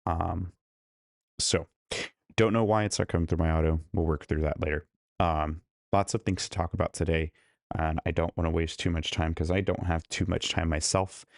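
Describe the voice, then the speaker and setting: A man's voice: low voice, fairly even intonation, normal speed, vocal fry, no echo. A man hosting a casual live stream from a hotel room while losing his voice, chatting and greeting viewers one by one through a studio microphone. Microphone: studio microphone plugged into a laptop.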